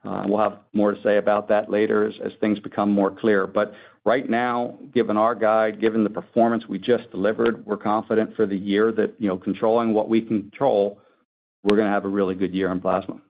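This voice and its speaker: A man speaking as a company executive, answering analysts on an earnings call in a measured, careful corporate manner. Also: lowish voice, flat delivery, creaky. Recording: dull, lo-fi conference call audio.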